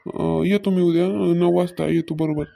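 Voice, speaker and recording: A man's voice: high voice, steady tone, moderate pace, vocal fry, dark tone. A man playing a grumbling, stingy village farmer husband in a comedy cartoon, with a rural Maharashtra Marathi accent and a nagging manner. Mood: matter-of-fact. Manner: nagging, complaining.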